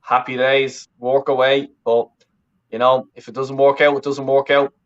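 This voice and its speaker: A man's voice: medium pitch, little pitch movement, normal speed. Man, Dublin accent, loose rambling chat, repeats himself, dull lo-fi sound. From west of Ireland. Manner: rambling, self-correcting, swears casually. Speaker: interview guest, fan channel creator. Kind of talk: casual chat.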